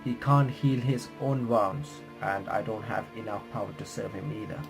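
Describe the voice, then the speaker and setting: A man's voice: fairly low pitch, creaky. Man reading game text aloud over music. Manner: halting reading with stumbles and self-corrections. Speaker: gaming reaction streamer. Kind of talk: reading visual novel text aloud with commentary. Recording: music playing behind the voice.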